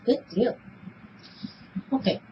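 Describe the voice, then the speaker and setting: A woman conducting an interview, asking questions in a casual, conversational way. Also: slow pace. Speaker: police polygraph examiner, interviewer. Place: small police interview room. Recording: muffled, noisy interrogation room recording.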